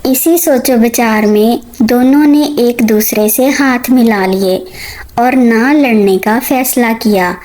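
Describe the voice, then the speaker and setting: A woman's voice: on the high side, slow pace, a little mellow, rough recording. A woman storyteller reading a scripted moral tale for children.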